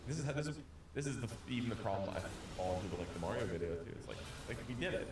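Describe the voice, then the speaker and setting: Low-voiced man rambling in an echoey room. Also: expressive, distant mic. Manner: halting, many restarts and "you know". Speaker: video creator and podcast co-host. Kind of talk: casual podcast chat. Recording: echoey room with faint noise.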